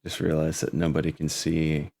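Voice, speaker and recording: Deep-voiced man chatting casually. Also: flat delivery, moderate pace, gravelly, darkish tone. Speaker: game master and host. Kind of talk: casual chat before online tabletop game stream.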